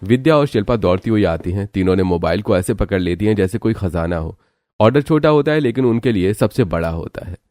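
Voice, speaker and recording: A man's voice: on the low side, lively intonation, a little fast, warm tone, no echo, clean studio sound. A man narrating a scripted cartoon family story.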